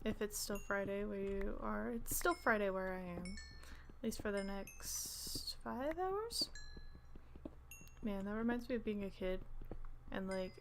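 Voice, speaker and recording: A woman's voice: medium pitch, unhurried, slight background noise. A young woman streaming a game, chatting casually and rambling, often dropping into whispered asides. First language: English. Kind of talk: casual gameplay chat.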